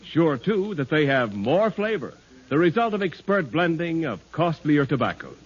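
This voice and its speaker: Husky, emphatic 1940s radio announcer man. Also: fairly high pitch, very animated, moderate pace. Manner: emphatic, polished salesmanlike delivery. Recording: dull vintage 1940s radio recording.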